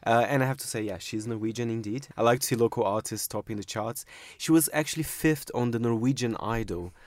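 A man's voice: mid-range voice, a little fast, no echo. Man, radio studio, chart countdown, chatty, laughs easily, dry close sound. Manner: chatty, laughs often, many fillers. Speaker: music researcher presenting a chart countdown. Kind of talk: casual radio panel chat.

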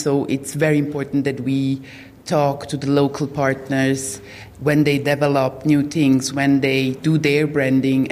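A woman's voice: very deep voice, darkish tone. A middle-aged woman from Croatia, speaking on a live panel about managing a destination brand, hesitant with many fillers and restarts. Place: hotel hall with audience. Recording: long reverb of a hotel hall.

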